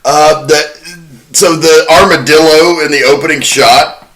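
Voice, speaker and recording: A man's voice: on the high side, a little slow, fairly bright tone, low-quality recording. A man hosting a casual film discussion podcast, talking informally and with enthusiasm, swearing now and then. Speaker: podcast host.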